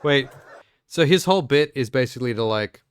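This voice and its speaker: A man's voice: mid-range voice, lively intonation, fairly quick, a little hiss or hum. A man from South Africa giving casual, off-the-cuff reactions as a live streamer, with wry, mocking asides.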